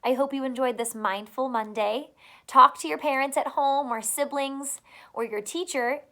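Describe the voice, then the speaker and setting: A woman's voice: high voice, moderate pace, pushed and bright. A woman teacher reading a picture book aloud to children, adding encouraging asides and questions.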